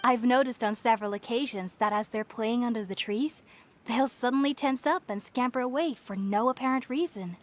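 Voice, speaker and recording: An actress playing a gentle, scholarly young woman researcher in a fantasy video game, speaking politely and thoughtfully. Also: a little high, normal speed, lightly acted. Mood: friendly, musing. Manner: polite, soft-spoken, thoughtful. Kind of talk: video game character dialogue.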